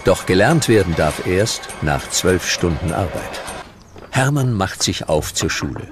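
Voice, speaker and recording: A man narrating a scripted documentary with dramatic, deliberate storytelling. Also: low-pitched, expressive, background noise.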